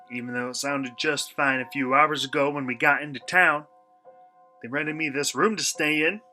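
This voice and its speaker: Man acting a sharp-voiced, brash young vlogger. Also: fairly high pitch. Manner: slangy, sarcastic, theatrical. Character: brash young male vlogger, uneasy and foul-mouthed, stranded. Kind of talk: radio play acting, first-person monologue.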